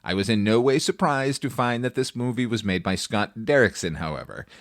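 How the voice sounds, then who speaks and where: Wry low-voiced man reviewing films, dry sound. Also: lively intonation, brisk, dry recording. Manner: wry, opinionated, sweary. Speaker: podcast host, film critic. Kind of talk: film review monologue.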